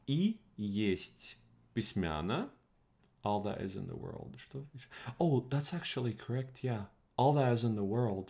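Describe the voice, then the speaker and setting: A man's voice: lowish voice, gravelly. A man whose first language is Croatian, casually reading and translating an old text on a live stream, thinking aloud with many fillers.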